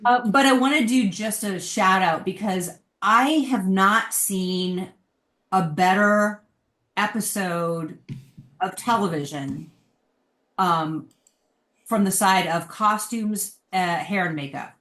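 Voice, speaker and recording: A woman's voice: mid-range voice, slow pace, a bit bright. Emphatic woman in an echoey room. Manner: emphatic, informal. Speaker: podcast panelist. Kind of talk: casual opinionated discussion. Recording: roomy, echoey sound.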